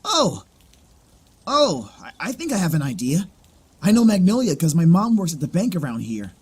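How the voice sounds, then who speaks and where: A woman performs an eager, good-natured teenage boy. The voice is husky and very lively, bouncing around with goofy charm, as in an amateur anime audio drama. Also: fairly low pitch, normal speed, full character voice.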